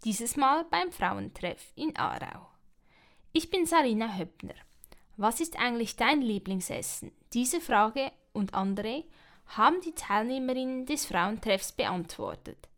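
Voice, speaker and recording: A woman's voice: on the high side, lively intonation, a little slow. Woman presenting a community radio feature. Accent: Swiss German. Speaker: radio host. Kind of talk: scripted radio narration.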